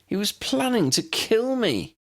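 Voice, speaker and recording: A man's voice: high-pitched, huge pitch swings, unhurried, voice pushed up, full character voice. A man voicing a plucky young woman in a story, shaken but composed, speaking earnestly. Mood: horrified.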